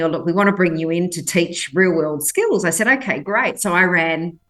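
A woman with a fairly low voice speaks as a guest in a conversational interview. English is not her first language. She tells stories emphatically and acts out what people said. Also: fairly bright tone. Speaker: podcast guest.

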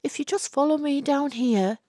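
A woman's voice: high-pitched, moderate pace, dark tone, dry recording, clean studio sound, voice pushed up, lightly acted. A woman voicing a devoted, earnest young Edwardian man in love, eager to please, in a story. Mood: anxious. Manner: earnest, doting.

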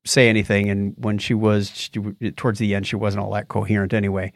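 A man's voice: on the low side, level tone, brisk, no room sound, clean studio sound. A man co-hosting a podcast, casually retelling a story in a conversational way with asides. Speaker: podcast co-host. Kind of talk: casual chat, recounting a documentary.

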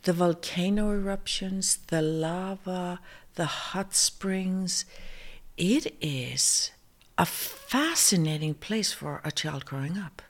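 A middle-aged woman with an Icelandic accent speaks English in an unhurried, searching way, pausing on fillers and starting words over. Her voice sits a little low. She is a guest in a relaxed interview. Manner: slow, hesitant, many fillers and restarts. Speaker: fashion designer guest. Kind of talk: interview conversation.

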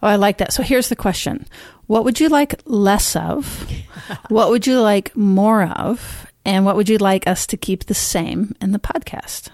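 A woman's voice: mid-range voice, a little slow, a little mellow, no room sound, studio quality. A woman with a Texan accent co-hosting a podcast in casual, reflective chat. She talks earnestly and laughs easily. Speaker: podcast co-host.

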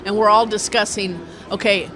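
Animated, rambling community radio organizer. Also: medium pitch, moderate pace. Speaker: community radio station organizer being interviewed. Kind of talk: casual spoken interview. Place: inside a brewery restaurant.

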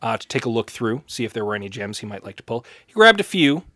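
A man's voice: mid-range voice, very animated, quick pace, bright, forceful tone, dry recording. A man co-hosting a podcast in casual chat, speaking in a dry, matter-of-fact way. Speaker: podcast co-host.

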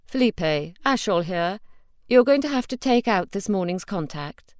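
A woman voicing a smooth, scheming male fixer who talks down to others. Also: medium pitch, animated, unhurried, darkish tone, no echo, clean studio sound, close to an everyday voice. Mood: cold orders.

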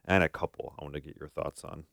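A man's voice: very deep voice, steady tone, quick pace, creaky, a bit bright, dry recording, studio quality. A man hosting a podcast in a casual conversational style, thinking aloud and often checking for agreement. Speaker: podcast host.

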